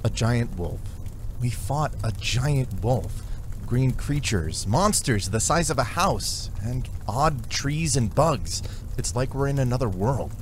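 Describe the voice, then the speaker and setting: An actor playing an eager, stubborn young soldier in a fantasy audio drama. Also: male voice, mid-range voice, lively intonation, takes it slowly, slight background noise. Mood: dazed, brooding.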